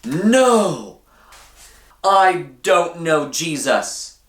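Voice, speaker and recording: A man voicing a brash, headstrong fisherman disciple in a children's storybook, emphatic and drawn-out in his insistence. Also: fairly high pitch, very animated, roomy sound, voice pushed up, full character voice. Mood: emphatic denial.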